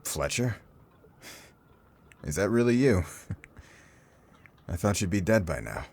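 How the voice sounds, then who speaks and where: A man's voice: low voice, sing-song, darkish tone, full character voice. A man playing a frail, forgetful old former sailor in an audio drama, hesitant, apologetic and wistful. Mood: confused. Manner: hesitant, halting. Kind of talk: cinematic audio roleplay acting.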